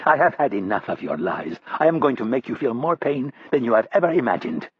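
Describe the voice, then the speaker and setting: A man voicing a menacing militia leader who taunts his captive with slow threats, in an audiobook. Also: medium pitch, big pitch swings, breathy edge, dry recording, lo-fi sound. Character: menacing Palestinian militia leader, cruel and mocking.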